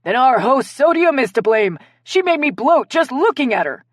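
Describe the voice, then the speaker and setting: A woman doing a husky, snide woman suspect. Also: high voice, very animated, normal speed, no room sound, clean studio sound, voice pushed up. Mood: accusing. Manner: snide, accusing.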